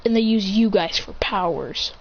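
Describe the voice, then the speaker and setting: A woman's voice: mid-range voice, animated, moderate pace, dull audio, a little hiss or hum, lightly acted. A woman voicing a bitter, angry young male ninja outcast, talking in resentful outbursts.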